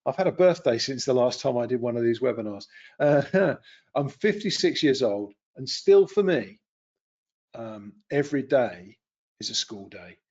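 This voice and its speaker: A man's voice: medium pitch, expressive, a little slow, darkish tone, lo-fi sound. A man in his fifties giving an unscripted webinar lecture, conversational and rambling, with many fillers and restarts. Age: 50s. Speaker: webinar presenter. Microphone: computer webcam and mic.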